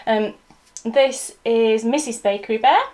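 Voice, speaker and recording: A woman's voice: fairly high pitch, measured pace, a little mellow. A woman co-hosting a casual chat show at home, telling stories in a chatty, easygoing way. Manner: chatty, storytelling, laughs easily. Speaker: co-host.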